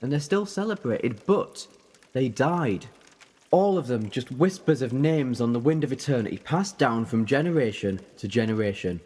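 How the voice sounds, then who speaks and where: A man playing a whimsical, animated time-traveller. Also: medium pitch, moderate pace, breathy edge. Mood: wistful, earnest. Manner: rambling, playful, theatrical. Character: eccentric, whimsical, defiant time-travelling alien Doctor who looks young. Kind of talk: audio drama acting.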